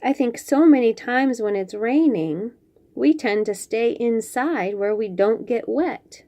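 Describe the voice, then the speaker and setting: A woman reading picture books aloud to young children, expressively, with drawn-out phrases and chatty asides. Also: a little high, animated, mellow. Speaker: children's read-aloud host.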